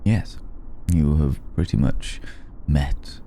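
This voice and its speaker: Man acting as old male vampire, courtly, wry, slow-paced, creaky gravelly voice, ASMR audio roleplay, intimate, pausing. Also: exaggerated voice. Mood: measured, courtly. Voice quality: gravelly, dark low voice. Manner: languid, hesitant pauses, refined and wry.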